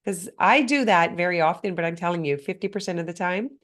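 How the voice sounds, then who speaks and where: A woman hosting a motivational podcast on her own, speaking earnestly and often putting questions straight to the listener, her voice a little hoarse and stuffed up. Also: fairly low pitch, brisk. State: slightly hoarse, congested. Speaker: podcast host. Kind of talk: motivational solo talk.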